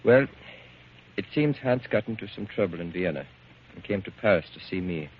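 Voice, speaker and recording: An actor playing a cool, grave man with a measured, insinuating delivery in 1940s radio drama style. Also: sits low, expressive. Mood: coolly insinuating. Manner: measured, deliberate, coolly insinuating. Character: cool, grave man coldly revealing grim news. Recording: muffled, hissy 1940s radio recording.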